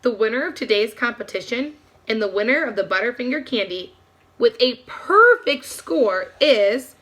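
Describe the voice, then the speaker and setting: Woman doing a stern mr teacher type, formal, deliberate, bright voice, storybook. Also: on the high side, animated. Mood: announcing. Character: stern, measured male schoolteacher.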